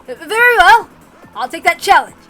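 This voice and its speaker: Brave doomed gambler, a man played by a woman: high, forceful, emphatic, stammering, defiant, melodramatic. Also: big pitch swings, moderate pace, soft background music, heavily acted, rough recording. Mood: determined. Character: desperate, brave adult man Kraus, defiant gambler and ex-boxer.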